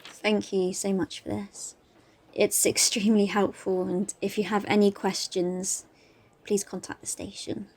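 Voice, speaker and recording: A woman's voice: medium pitch, level tone, a little slow, a little mellow. A woman playing a cheeky, teasing police detective in a naturalistic audio drama. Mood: gently professional. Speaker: actor in an audio play.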